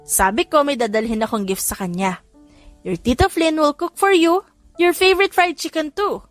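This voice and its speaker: A woman voicing a bubbly, excitable young aunt in an audiobook, gushing and exclaiming as she mixes English into Tagalog. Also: high voice, normal speed, faint music behind, pitched above the performer's own voice, close to an everyday voice. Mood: cheerful. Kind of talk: audiobook character voice.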